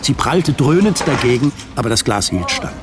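Male radio drama narrator over loud music. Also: medium pitch, very animated, moderate pace.

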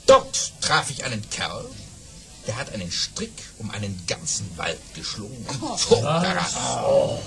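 A boastful storytelling baron in a children's radio play. His pitch leaps and plunges dramatically. He stretches pauses and throws in hesitant fillers to relish his tall tales. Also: sing-song, gravelly, soft background music, exaggerated voice. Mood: mysterious, boastful. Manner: theatrical, savouring pauses, hesitant fillers for effect.

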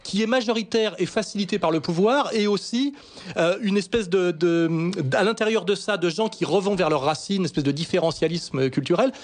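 A man in a heated radio studio debate, insistent and quick to cut in. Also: sits high. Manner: insistent, interrupting. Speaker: panel guest.

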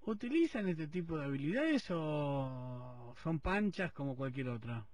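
A man's voice: fairly high pitch, very animated, unhurried, darkish tone, no echo, recorded from a distance. A man with a Rioplatense accent hosting a morning radio show, interviewing a caller in a joking, teasing way. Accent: Rioplatense Spanish. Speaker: radio host. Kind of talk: casual phone interview. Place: radio studio.

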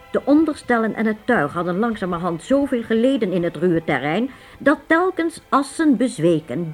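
A woman reading scripted narration as the storyteller of a radio drama. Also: mid-range voice.

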